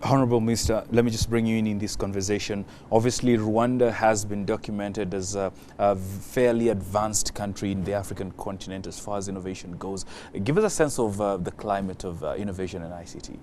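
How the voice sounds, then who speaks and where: A man's voice: on the low side, brisk, few pauses, a little mellow, slight background noise. A man moderating a televised panel interview, introducing the guests formally with frequent fillers. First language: not French.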